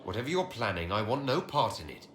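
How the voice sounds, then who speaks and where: An actor playing a level-headed, wary leader in a video game, speaking in short, direct lines and questions. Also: male voice, fairly low pitch, sing-song, quick pace, a bit bright. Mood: guarded. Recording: roomy echo, faint background noise.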